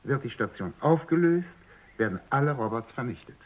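A man's voice: mid-range voice, animated, a little fast, breathy edge, narrow, tinny audio. A man playing a diplomatic, evasive company executive who placates and obliges in a German radio drama, on a thin radio recording. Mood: defensive, placating.

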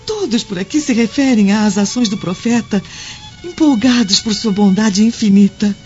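A woman's voice: mid-range voice, expressive, normal speed, a little mellow, natural voice. A woman playing a devout, worried Roman wife and mother in a radio drama, speaking in an earnest, imploring way. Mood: pleading. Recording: dull lo-fi audio over music.

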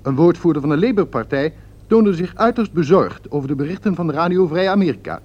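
A man playing a formal radio news correspondent who delivers measured reports, in a dull lo-fi radio recording. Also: fairly high pitch, animated.

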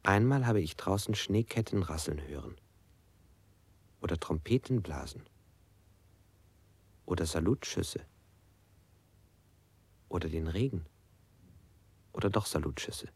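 An actor playing a tormented, submissive man recounting captivity in a literary radio play, speaking slowly and haltingly with breathy near-whispers. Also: deep voice, lively intonation, no room sound, full character voice. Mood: haunted, brooding.

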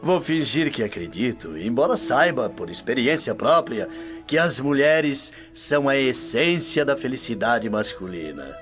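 A man's voice: medium pitch, big pitch swings, a little slow, music in the background. An actor with a Brazilian accent playing a jovial, teasing, wealthy Roman womanizer in a radio drama. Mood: amused, knowing. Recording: dull, muffled studio track.

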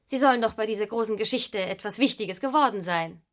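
A woman's voice: medium pitch, a little fast, natural voice. An actress playing a proud, nervous, headstrong young woman of twenty-three in a literary radio drama. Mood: pointed, restless.

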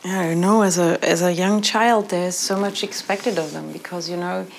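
A woman's voice: lowish voice, steady tone, moderate pace, creaky, slight background noise. A woman speaking in the style of a reality-TV confessional.

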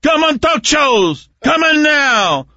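An older man with a thick Cuban accent shouts his way through a rant, high and very bright in tone. The playback is dull and dry, with little room sound. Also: big pitch swings, takes it slowly, dry recording. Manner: shouting, gleeful. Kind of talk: reading an on-air rant aloud. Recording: dull lo-fi played-back audio.

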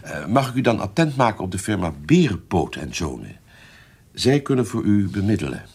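Low-voiced, courteous merchant's clerk. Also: male voice, sing-song, fairly quick, vocal fry, a little mellow, slightly rough, full character voice. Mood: courteous, businesslike. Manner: formal, polite, obliging. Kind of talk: Dutch historical radio drama acting.

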